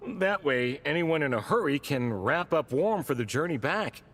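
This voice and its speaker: Obliging, higher-voiced gentleman with animated intonation. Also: normal speed, big-room sound. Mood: helpful. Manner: friendly, polite, obliging. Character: kindly, helpful gentleman, eager to please. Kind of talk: video game voice acting.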